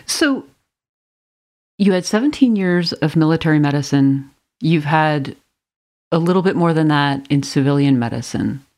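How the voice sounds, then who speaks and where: A woman co-hosting a podcast, reading out the guest's credentials in a clear, measured way. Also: sits low, animated, a little mellow. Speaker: podcast co-host.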